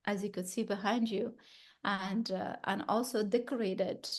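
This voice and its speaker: Woman artist from Afghanistan, thirties, gallery talk, thoughtful and halting with restarts, mid-pitched voice. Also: fairly even intonation. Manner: thoughtful, searching for words, frequent restarts. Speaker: exhibiting artist.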